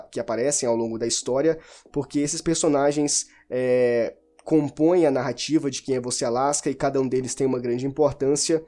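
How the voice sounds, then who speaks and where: Casual man talking books, mellow voice. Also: mid-range voice. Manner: informal, rambling with restarts and self-corrections. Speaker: book reviewer. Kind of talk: casual spoken book discussion.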